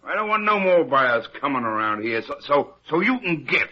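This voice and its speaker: An actor playing a stubborn, irritable country farmer in an old-time radio drama, curt and defensive with folksy, ungrammatical speech. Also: male voice, on the high side, big pitch swings, moderate pace. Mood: angry, defensive. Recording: muffled lo-fi old radio recording.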